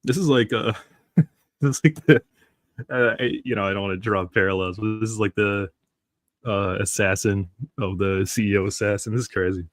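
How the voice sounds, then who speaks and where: A man with a low voice and a stuffy, congested nose talks in a loose, rambling way on a live reaction stream. The sound is close and dry, with no room around it. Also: fairly even intonation, moderate pace, no echo. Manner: rambling, chatty, reads comics aloud.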